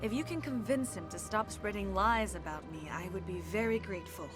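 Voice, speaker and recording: A woman's voice: mid-range voice, natural voice. Woman as a poised consort, music behind. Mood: earnest, discreet. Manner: composed, formal, deliberate. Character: poised, influential alien consort, courteous and discreet. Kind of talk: video game voice acting.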